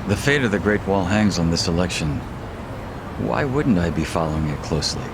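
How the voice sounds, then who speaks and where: An actor playing a cool, serious underworld ally in a game dub, terse and matter-of-fact. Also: male voice, low-pitched, lively intonation, fairly quick, background noise. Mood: cool, informative. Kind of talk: English game dub cutscene acting.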